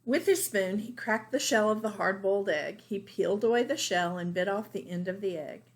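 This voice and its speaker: A woman's voice: mid-range voice, normal speed. A woman teacher reading a picture book aloud expressively for young children. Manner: expressive, pointing out pictures to listeners.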